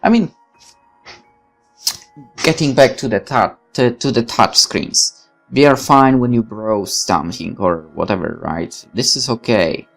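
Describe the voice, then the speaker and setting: A woman's voice: very deep voice, a little slow, vocal fry, faint music behind. A woman reading a visual novel aloud as a let's player, breaking off into candid, opinionated commentary with some swearing. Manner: opinionated, exclamatory, swears freely. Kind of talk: reading game text with candid side commentary.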